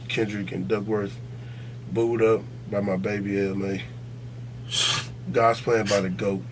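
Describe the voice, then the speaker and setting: A man's voice: on the low side, slight background noise, low-quality recording. An American man hosting a casual podcast debate, talking in a slangy, profane, insistent and opinionated way. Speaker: podcast host.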